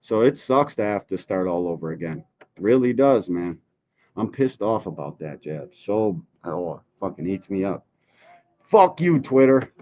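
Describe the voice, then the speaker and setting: A man's voice: low voice, very animated, normal speed, a little mellow. A man hosting a podcast livestream, ranting casually and bluntly with heavy swearing and complaints. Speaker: podcast host. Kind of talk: casual livestream rant, profane. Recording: dull, muffled lo-fi stream audio.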